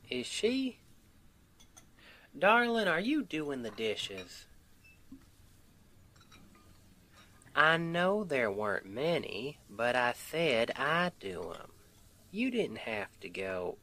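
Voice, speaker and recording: A male actor plays a sweet Southern US husband in an intimate ASMR roleplay. He speaks very slowly at a middling pitch, with a folksy drawl. He is tender, protective and a little stubborn in his soothing care. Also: pushed and bright, exaggerated voice. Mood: fond exasperation.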